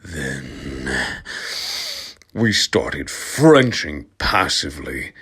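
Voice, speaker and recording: A man reading fan fiction aloud in a dramatic comic style, sardonic and theatrical, dropping into murmurs and rising into loud outbursts. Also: very deep voice, very animated, extremely slow, slightly rough.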